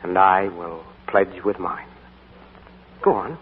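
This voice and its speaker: A charming young American soldier. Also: male voice, low voice, slow pace, husky, in a large hall, heavily acted. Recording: tinny 1940s radio broadcast, faint hiss.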